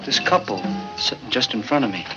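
An actor playing a tired, meek husband who pleads and sulks, in 1950s film noir style. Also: male voice, mid-range voice, sing-song, fairly quick, close to an everyday voice. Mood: plaintive. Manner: plaintive, pleading, wounded. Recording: dull old film soundtrack with score. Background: film music.

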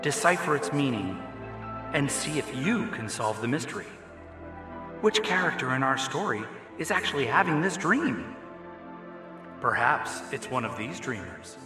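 A man playing the theatrical, deliberate storyteller of a mysterious dream tale in a radio drama. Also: medium pitch, very animated, echoey room, music in the background. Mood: theatrical, intriguing. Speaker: narrator. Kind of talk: scripted radio drama narration.

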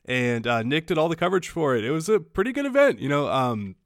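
A man's voice: on the high side, sing-song, quick pace, no echo, clean studio sound. A man hosting a gaming podcast in casual, rambling chat full of fillers. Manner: rambling, filler-heavy, upbeat. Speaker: podcast host.

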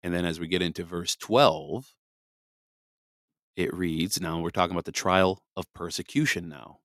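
A man from Michigan with a cold, hosting a podcast and reading scripture slowly after rambling chat full of fillers. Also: low voice, fairly even intonation, a bit bright, no room sound, clean studio sound. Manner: rambling, many fillers, then slow deliberate reading. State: congested from a cold. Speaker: podcast host. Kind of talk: scripture reading with casual commentary. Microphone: close podcast mic.

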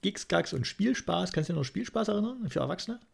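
A man's voice: high-pitched, animated, fast, gravelly, slightly rough. A man from East Germany chatting casually and jokingly as a podcast host. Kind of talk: casual comic chat.

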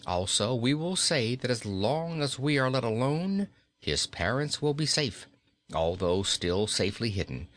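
A man's voice: medium pitch, sing-song, measured pace, fairly bright tone, dry recording. A man voicing a frightened, scheming wicked queen in a storybook, urgent and commanding. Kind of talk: storybook character reading.

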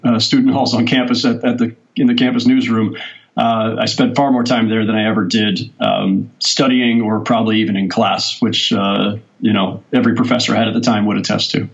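A man's voice: lowish voice, gravelly, highs cut off. A sportswriter in his forties, a guest on a podcast, telling his story in a thoughtful, rambling way. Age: 40s. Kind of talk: casual interview talk.

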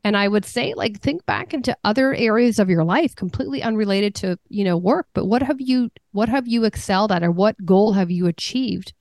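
A woman's voice: medium pitch, lively intonation, fairly quick, hardly any pauses, a little mellow, no room sound, clean studio sound. A woman business coach answering interview questions in an earnest, conversational way, often repeating a word as she starts a thought.

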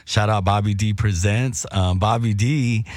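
A middle-aged man with a somewhat low, slightly raspy voice rambles easily through a relaxed conversation, full of slang and restarts. The sound is dry and close, with no room around it. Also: unhurried, no room sound. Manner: laid-back, rambling with many restarts and slang. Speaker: guest, former radio host. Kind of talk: casual chat.

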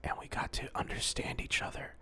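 A podcast co-host improvising comedy banter in a hushed, playfully dramatic way. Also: brisk, in a whisper.

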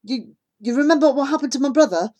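A woman's voice: high-pitched, expressive, fast talker, a little mellow, muffled recording, voice pushed up. A woman voicing a guilty, worried young boy who is torn about leaving his friend. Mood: pleading. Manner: hesitant, apologetic.

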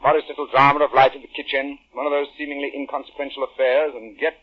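An actor playing a brisk, cheerfully theatrical male detective in a 1940s radio drama, crisp and musing aloud. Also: mid-range voice, little pitch movement, natural voice. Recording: dull old radio broadcast.

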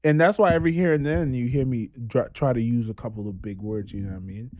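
A man in his twenties hosting a podcast, telling stories in a casual, animated way. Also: mid-range voice, fast talker. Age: 20s. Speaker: podcast host.

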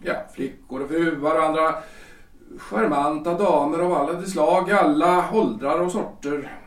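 A man's voice: fairly high pitch, far from the mic, natural voice. An actor playing a chatty, self-important middle-aged lawyer husband in a period audio drama, hesitant and stammering as he rambles. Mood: teasing, rambling. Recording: echoey room, off the mic.

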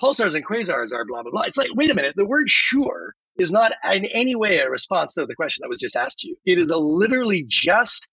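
An animated man in a podcast conversation, with a higher voice that swings widely in pitch. He is emphatic and acts out quoted dialogue. The sound is a little dull and lo-fi. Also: brisk, creaky, hardly any pauses, husky. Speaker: podcast guest who voiced a chatbot script. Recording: roomy, slightly dull lo-fi sound.

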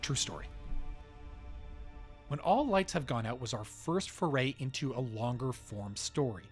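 Lively, dramatic male narrator. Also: mid-range voice, unhurried, faint music behind. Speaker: podcast host. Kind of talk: scripted promotional narration.

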